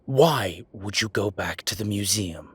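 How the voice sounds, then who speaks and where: A man's voice: fairly low pitch, animated, measured pace, husky, no room sound, heavily acted. A man playing an exasperated, protective male vampire in an ASMR roleplay drama, scolding and sardonic. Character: exasperated, protective male vampire, dry-witted and world-weary. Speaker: voice actor.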